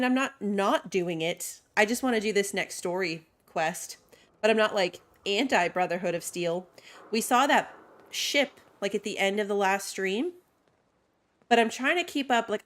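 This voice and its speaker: Chatty woman streamer rambling. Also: medium pitch. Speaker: game streamer. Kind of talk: casual gameplay commentary.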